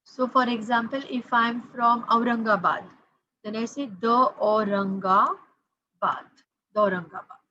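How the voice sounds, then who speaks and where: A woman's voice: fairly high pitch, steady tone, a little slow, sharp tone, muffled recording, a little hiss or hum. A woman, teaching French grammar patiently, repeating key points and spelling out letters. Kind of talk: explaining grammar to students.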